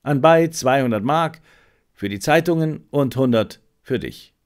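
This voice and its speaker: A male narrator reads as an anxious, secretive baroness in a detective audiobook. The letter is delivered formally and slowly, with a lively range of pitch. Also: close to an everyday voice. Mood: guarded, pleading. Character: secretive, anxious baroness writing to her servant.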